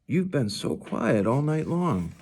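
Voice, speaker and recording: Man as a gentle, playful storybook dad, creaky. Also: fairly high pitch, big pitch swings, takes it slowly, voice pushed up, full character voice. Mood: gently checking. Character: gentle, playful, reassuring father of a young boy.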